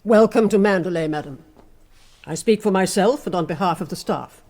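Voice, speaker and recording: A woman acting an older housekeeper in a radio drama, with an English accent and a measured, icily polite manner. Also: lowish voice, darkish tone. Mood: coldly formal. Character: gaunt, severe older housekeeper, coldly formal and deferential.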